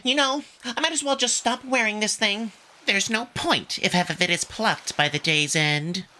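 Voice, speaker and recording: A high, squealing, fussy cat-man. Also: moderate pace, pushed and bright. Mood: grumbling. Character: Alexios, fussy, flustered young male alien cat.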